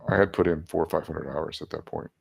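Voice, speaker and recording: A man's voice: very deep voice, fast talker, creaky, breathy edge. A man in his seventies, a guest casually telling stories about his life and laughing at his own jokes. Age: 70s. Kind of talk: casual storytelling interview.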